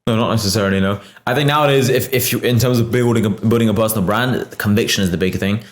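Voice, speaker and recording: Fast-talking man, tired after a flight. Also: lowish voice. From United Kingdom. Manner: persuasive, explaining at length. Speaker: podcast guest. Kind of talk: casual podcast conversation. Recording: roomy echo.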